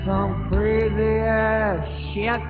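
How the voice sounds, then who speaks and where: A singer performing a crude comic song, moving between half-spoken verses and drawn-out shouted choruses. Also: mid-range voice, level tone. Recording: tinny, echoey, loud guitar music.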